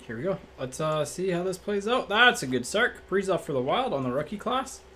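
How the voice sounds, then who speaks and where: A man with a slightly raspy voice hosts a card break, reading off each card in a relaxed, matter-of-fact way and thinking out loud. He is recorded indoors at a table by a fixed camera. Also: high voice, brisk, slight background noise. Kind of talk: casual card-opening commentary.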